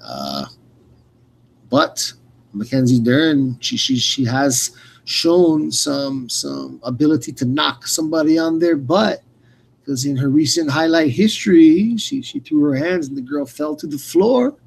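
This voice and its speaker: A man hosting fight commentary in a casual, rambling, unscripted way, often halting and repeating words. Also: a little high, expressive, gravelly, husky, low-quality recording. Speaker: radio play-by-play host.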